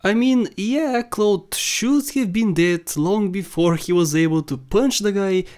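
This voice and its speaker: A man with a high-pitched voice narrates a gaming countdown. He talks casually and shares his opinions in a voice-over. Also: a little slow, darkish tone. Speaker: video game countdown narrator.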